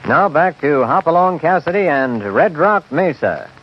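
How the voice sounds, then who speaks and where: A man's voice: on the high side, animated, normal speed, close to an everyday voice. Guy as old time radio western announcer, solemn n dramatic, music blaring behind, dull sound. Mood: suspenseful. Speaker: announcer and narrator. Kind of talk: dramatic 1950s radio narration.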